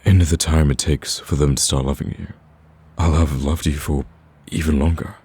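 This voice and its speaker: Man playing a very deep, husky lovestruck villain. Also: steady tone, moderate pace, dark tone, exaggerated voice. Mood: earnest wooing. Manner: smooth-talking, teasing, earnest pleading. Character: smitten male villain, cocky, persistent, wooing. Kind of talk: romantic ASMR roleplay acting.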